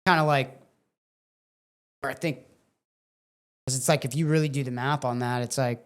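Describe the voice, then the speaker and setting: A man hosting a podcast who questions a guest in a relaxed, conversational way, with frequent fillers. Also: mid-range voice, normal speed. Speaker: podcast host. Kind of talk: casual interview.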